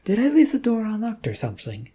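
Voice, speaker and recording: A narrator voicing a scatterbrained, easily excited character who was magically turned female and talks in a muddled, eager way. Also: medium pitch, quick pace, breathy edge, pitched above the performer's own voice. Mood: confused.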